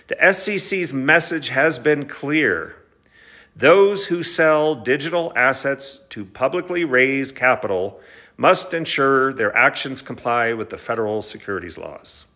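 A man's voice: mid-range voice, sharp tone. A middle-aged man with an American accent, an attorney reading a legal document aloud with brief asides in a stop-and-start delivery. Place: large indoor living room with high ceiling.

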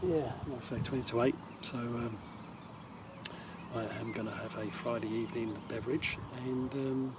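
A man, chatting casually to camera as an angler vlogger, relaxed and rambling. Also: medium pitch, moderate pace, distant mic, very noisy. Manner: relaxed, rambling, talks to the fish. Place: outdoors by a lake. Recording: loud outdoor ambience. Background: loud outdoor ambience and water.

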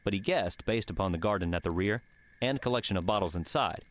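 A man's voice: low voice, quick pace. A man reading scripted fiction as the narrator of an audiobook.

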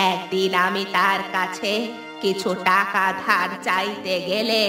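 A woman's voice: mid-range voice, natural voice. A woman voicing a wronged old woman ghost, commanding and mournful, in a horror cartoon. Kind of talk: Bengali horror cartoon voice acting. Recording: echoey, distant, music underneath.

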